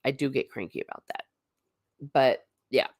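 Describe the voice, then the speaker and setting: Low-voiced lady doing a chill livestream chat, blunt and chatty, dry close sound. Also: moderate pace, no echo. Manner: chatty and blunt, with frequent asides and restarts. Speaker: livestream host. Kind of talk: casual livestream chat, reading viewer comments.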